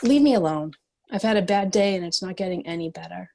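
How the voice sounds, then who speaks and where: A woman's voice: lowish voice, quick pace, vocal fry, warm tone, a little hiss or hum. A woman playing a stubborn, prickly bounty cop in a screenplay table read, terse and combative. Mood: irritated.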